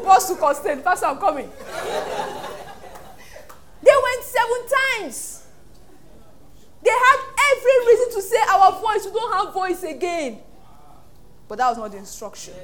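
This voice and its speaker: A Nigerian woman pastor preaching an animated sermon, acting out voices and laughing often. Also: extremely high pitch.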